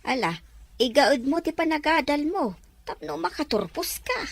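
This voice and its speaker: A woman playing a doting, hopeful middle-aged aunt in an Ilocano audio drama, with a husky voice. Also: high voice, sing-song, normal speed. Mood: affectionate, encouraging.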